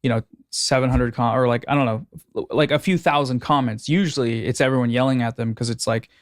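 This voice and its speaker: Relaxed man chatting as a podcast guest. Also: medium pitch, fairly quick, no room sound, studio quality. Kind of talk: casual podcast chat.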